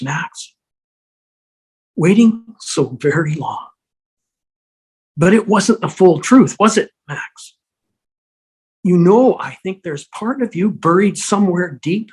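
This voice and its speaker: Brief: performer as dead older brother apparition, menacing and taunting, husky, unhurried, video-call table read. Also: mid-range voice, exaggerated voice. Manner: slow, insinuating, taunting. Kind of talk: fan table read acting.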